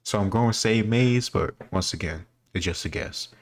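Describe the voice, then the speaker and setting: A man's voice: low-pitched, moderate pace, creaky. A man speaking informally as a host, reacting casually and thinking aloud as he answers quiz questions.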